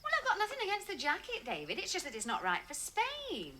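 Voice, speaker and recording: A gently nagging wife. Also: female voice, very high voice, huge pitch swings, quick pace, slight background noise, exaggerated voice. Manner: coaxing and reasonable. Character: patient middle-aged wife, gently nagging. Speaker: sitcom wife.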